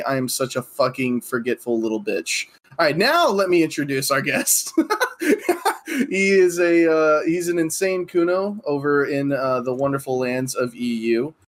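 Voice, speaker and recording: An American man hosting a podcast, joking and self-mocking and laughing often as he chats. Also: medium pitch. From United States. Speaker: podcast host. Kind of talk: casual podcast chat.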